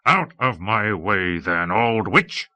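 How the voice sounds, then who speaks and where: A man voicing an angry, impatient man in an audiobook tale, gruff, blunt and scornful. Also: lowish voice, lively intonation, slow pace, pushed and bright, dry recording, heavily acted. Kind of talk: dramatic audiobook storytelling.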